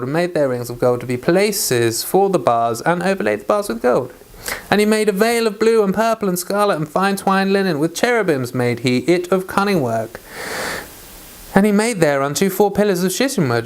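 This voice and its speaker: A person reading Bible scripture aloud as a read-along, occasionally stumbling over and repeating words. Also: mid-range voice. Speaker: Bible reader.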